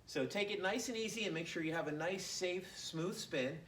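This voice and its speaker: A man's voice: high-pitched, normal speed. A man teaching a martial arts class on camera, casual and joking as he demonstrates the moves. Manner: relaxed, joking, talks while demonstrating. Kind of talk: casual instructional demo. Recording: echoey room, faint background noise.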